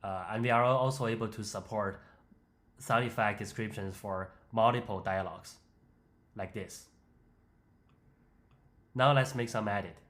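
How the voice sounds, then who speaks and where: A man giving an explanatory, step-by-step voice-over for a software demonstration. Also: fairly low pitch, unhurried, pauses often. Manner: step-by-step, instructional. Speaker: software tutorial presenter. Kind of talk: explanatory screen-demo voice-over.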